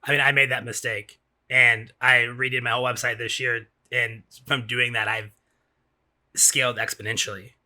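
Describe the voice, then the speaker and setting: A man's voice: medium pitch, flat delivery, moderate pace, bright, forceful tone. A man hosting a podcast in casual chat, informal and circling back to restate his points. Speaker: podcast host.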